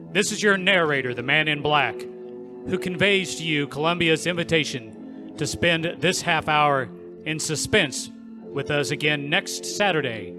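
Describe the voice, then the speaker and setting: A suave 1940s radio narrator rolls out the closing credits in a smooth, ceremonious announcer's voice, played by an actor. His voice sits a touch high. Clear music plays under him.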